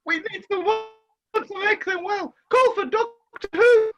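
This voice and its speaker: Woman playing a very high-pitched pantomime mother. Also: slow. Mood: fretful. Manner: exclamatory, stagey. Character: bossy, fretful mother of Aladdin, a washerwoman. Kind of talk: rhyming amateur pantomime acting.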